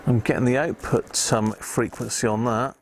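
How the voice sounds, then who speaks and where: A man's voice: mid-range voice, fairly even intonation, normal speed, gravelly, a little hiss or hum, low-quality recording. A British man giving casual live commentary as a hobbyist repairer, muttering and thinking aloud as he works. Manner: muttering to himself while working, thinking aloud. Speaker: livestream host, radio repair hobbyist.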